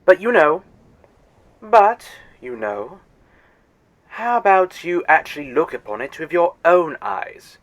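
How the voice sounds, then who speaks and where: A man's voice: a little high, big pitch swings, slow, pauses often. A man playing a sarcastic, scornful alien. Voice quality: sarcastic, condescending. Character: arrogant sarcastic male alien Eternal guide. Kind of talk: fan audio drama.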